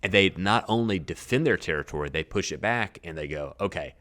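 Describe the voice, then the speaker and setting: A man's voice: sits low, animated, fairly quick, fairly bright tone. A man co-hosting a church podcast, summing up history and thinking aloud with many restarts. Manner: thinks aloud with restarts, sums up and checks facts. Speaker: podcast co-host. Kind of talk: casual history discussion.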